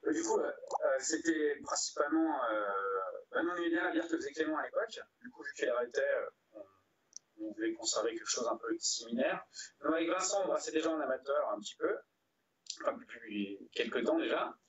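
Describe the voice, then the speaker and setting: A man in his thirties from Brittany, chatting casually as an interview guest, pausing often as he feels out his sentences. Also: fairly high pitch, a bit bright, breathy edge. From Brittany, France. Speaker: brewery co-founder, interview guest. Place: indoor room, video call. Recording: dull, lo-fi video call audio.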